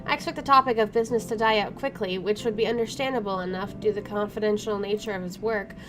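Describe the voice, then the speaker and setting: A woman's voice: medium pitch, fairly even intonation, fast. A woman doing a let's play, reading game text aloud with wry, informal asides and interrupting herself as she goes. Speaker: gaming streamer. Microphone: microphone placed to one side. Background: game music.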